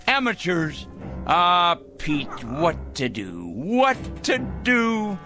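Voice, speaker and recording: A man's voice: sits high, wildly swooping pitch, drawn-out words, over music, exaggerated voice. An actor playing a paranoid, smug middle-aged man in a 1960s TV drama, talking theatrically to his pet bird. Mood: scheming. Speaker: fanatical letter-writing crank.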